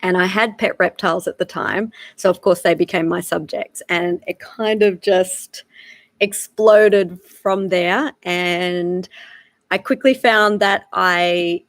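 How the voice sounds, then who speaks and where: A woman's voice: fairly low pitch, slow pace, dry recording. A woman in her thirties with an Australian accent, giving a casual live-streamed lesson, with lots of "uh" and "um" as she talks. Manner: frequent filler "uh" and "um", relaxed and chatty. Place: home in South Africa.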